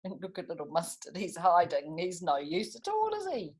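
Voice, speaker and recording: Husky, low-voiced woman reading stories to children. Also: moderate pace. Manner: expressive, chatty asides with tag questions. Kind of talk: animated picture-book read-aloud with asides to young listeners.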